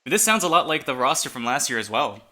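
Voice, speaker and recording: A man's voice: mid-range voice, sing-song, fast talker, bright, forceful tone. A man whose first language is Chinese, casting an esports match in a casual, chatty way and announcing players one by one. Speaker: esports caster. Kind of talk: fan esports commentary.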